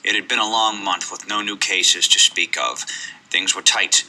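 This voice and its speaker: A man playing a world-weary private eye, narrating his case in a deadpan, brooding film noir radio theater style. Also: fairly low pitch, moderate pace, slight background noise, pitched below the performer's own voice, low-quality recording.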